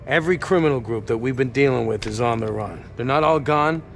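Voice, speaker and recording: An actor playing a weary, plainspoken middle-aged American police captain in a video game, with a gravelly voice. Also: male voice, mid-range voice, fairly quick, soft background music, close to an everyday voice. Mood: approving, weary. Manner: plainspoken, understated. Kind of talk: video game cutscene acting.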